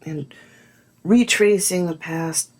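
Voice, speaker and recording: An actress playing a thoughtful woman musing on dark local history in a measured, journal-like audio drama monologue. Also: lowish voice. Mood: pensive. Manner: measured, pensive.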